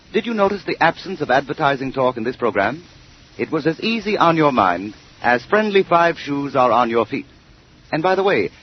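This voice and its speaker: Noisy 1930s radio announcer, higher-voiced American man. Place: radio studio. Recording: noisy, muffled early 1930s radio broadcast.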